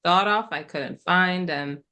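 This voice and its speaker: A middle-aged woman who speaks Persian natively, a guest answering interview questions in a thoughtful, hesitant way with many pauses. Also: fairly low pitch, crowd noise. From Middle East. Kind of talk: relaxed conversational interview.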